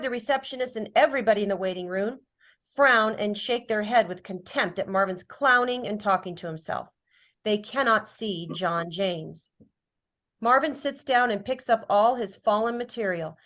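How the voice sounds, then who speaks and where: A woman's voice: medium pitch, animated, normal speed, a bit bright. A woman reading stage directions as scripted narration at a drama table read.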